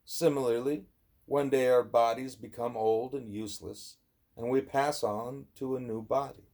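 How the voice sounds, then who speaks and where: Male audiobook narrator, soft mellow tone, mid-range pitch, measured scripted reading, emphatic phrasing. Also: steady tone. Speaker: audiobook reader. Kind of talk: scripted reading of a spiritual dialogue.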